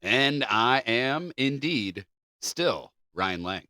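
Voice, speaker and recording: A man's voice: medium pitch, expressive, extremely slow, sharp tone, dry recording, studio quality. A man co-hosting a podcast in a casual, comedic, conversational style. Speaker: podcast co-host.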